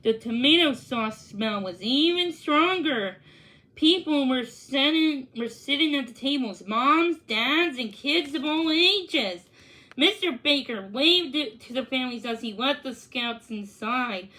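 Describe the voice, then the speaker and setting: A woman reading a children's story aloud, a little haltingly, stumbling over and repeating words. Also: high voice, animated, sharp tone, rough recording. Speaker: storybook reader. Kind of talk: children's read-aloud narration.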